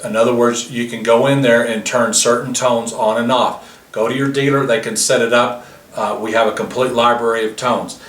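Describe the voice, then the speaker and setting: A man's voice: medium pitch, flat delivery, normal speed, fairly bright tone. A man demonstrating a vehicle intercom system, explaining each feature step by step in a plain way, recorded outdoors beside a car. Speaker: product demonstrator. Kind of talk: product demonstration. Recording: roomy, distant mic with faint noise.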